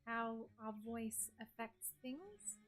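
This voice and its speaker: An Australian woman with a soft, breathy voice, chatting casually with her stream viewers and reading their messages aloud. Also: a little high, lively intonation, takes it slowly. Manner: chatty, reads chat messages aloud and answers them. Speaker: game streamer.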